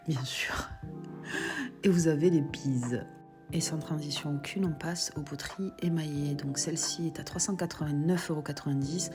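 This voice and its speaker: A woman with a low voice and a cold talks through her shop's pottery stock. She is chatty and explains the prices and sizes step by step. Music plays clearly behind her. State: has a cold. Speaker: shop owner presenting stock. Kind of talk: product walkthrough video.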